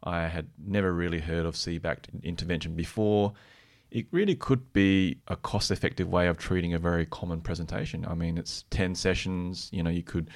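A man co-presenting a podcast, explaining research conversationally in a measured, explanatory manner. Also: deep voice, steady tone. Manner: measured, explanatory, tentative when giving opinions. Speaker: podcast co-presenter, practising therapist.

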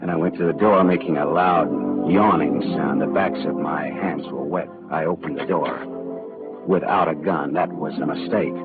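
An actor playing a wisecracking, world-weary private detective in 1940s radio style, clipped and sardonic. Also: male voice, rumbling low, normal speed. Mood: tense narration. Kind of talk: hard-boiled first-person narration and dialogue, 1940s radio acting. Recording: muffled old radio broadcast, faint music.

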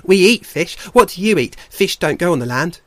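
A man voicing a playful, boastful young male otter, excitable and bragging, in an animal storybook. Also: sits high, moderate pace, no room sound, pitched above the performer's own voice. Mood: playful, curious.

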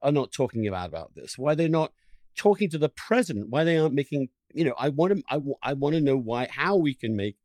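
A man with a mid-pitched voice hosts a conversational interview. He speaks with urgency and heavy emphasis, repeating words and stacking phrases to drive points home. The sound is dry, with very little room in it. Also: sing-song, quick pace, darkish tone, dry recording. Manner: emphatic, piling up adjectives and repeating words for stress. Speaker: podcast host interviewing a guest.

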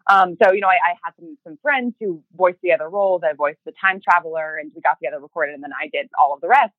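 A woman talking casually as an interview guest, halting and often rephrasing as she tells her story. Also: on the low side, dry recording. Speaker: podcast interview guest, writer and creator. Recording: thin, phone-like narrow band.

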